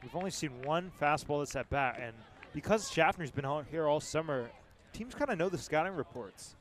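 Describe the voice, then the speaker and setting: A man's voice: on the high side, expressive, moderate pace. A man giving live color commentary on a baseball game from the ballpark, in a conversational, explanatory way. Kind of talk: live sports analysis. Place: outdoors at a ballpark.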